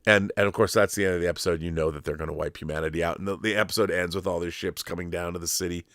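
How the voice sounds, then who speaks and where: Man, low voice, dry close sound, rambling host, opinionated pop culture commentary, emphatic and sweary. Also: steady tone, rapid-fire, a bit bright, dry recording. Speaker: host answering live viewer questions.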